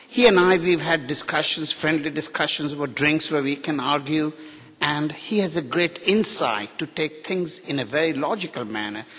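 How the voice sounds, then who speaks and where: Middle-aged indian guy giving a tribute, kinda high-pitched, outspoken and jokey, echoey hall. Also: a bit bright, slightly rough. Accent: Indian English with British and American influences. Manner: candid, joking, outspoken. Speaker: guest speaker at a ceremony. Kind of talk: unscripted ceremonial tribute speech. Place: large hall. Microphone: podium microphone. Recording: reverberant hall.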